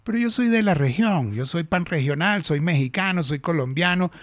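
A man's voice: a little high, animated, normal speed, no echo, clean studio sound. A middle-aged Venezuelan man hosting a casual industry chat, talking animatedly with many restarts. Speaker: host.

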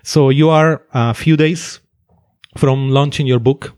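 Man, Finnish accent, interview host, mid pitch, hesitant, dry sound, faint music behind. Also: fairly even intonation, slow, a little mellow, clear, resonant tone, dry recording. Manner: hesitant, laughs often. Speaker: podcast host. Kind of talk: interview hosting.

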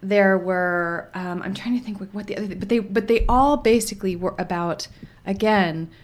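A woman speaking as an interview guest in a relaxed, reflective way, with many fillers and restarts. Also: mid-range voice, normal speed. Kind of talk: casual conversational interview.

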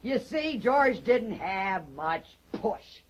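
An actress playing a bitter, taunting middle-aged wife in a stage drama, mocking and theatrical with drawn-out emphasis. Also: female voice, a little high, big pitch swings, a little hiss or hum. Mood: scornful, savage. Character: bitter, taunting middle-aged wife telling stories about her husband.

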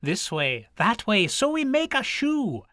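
A man voicing a merry old male leprechaun shoemaker in an Irish accent, chanting in a high sing-song. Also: wildly swooping pitch, unhurried, bright, forceful tone, dry recording, studio quality, exaggerated voice.

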